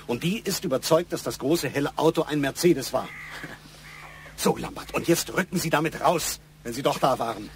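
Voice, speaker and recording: A man's voice: a little high, normal speed, slight background noise, lightly acted. Man acting an insistent, probing boss. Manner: pointed, probing, matter-of-fact. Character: insistent middle-aged male boss pressing his employee. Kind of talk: German radio crime drama acting.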